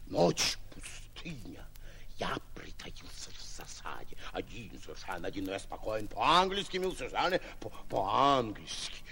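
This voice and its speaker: A man in a 1940s Soviet radio play acting a boastful provincial would-be lion hunter, with pompous, exclamatory delivery and dramatic pauses. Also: low-pitched, creaky, sharp tone, slight background noise, heavily acted. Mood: hushed suspenseful boasting. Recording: old 1940s radio recording, music behind.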